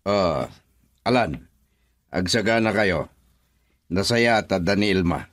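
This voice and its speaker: A man's voice: low voice, lively intonation, slow, no room sound. A man acting a hopeful, persuasive middle-aged father in a radio drama, with a Filipino accent. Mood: inviting.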